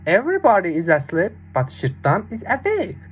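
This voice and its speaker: A woman voicing a tiny, clever boy in a fairy tale, giving calm, matter-of-fact replies. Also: mid-range voice, normal speed, soft background music. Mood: calm, wily.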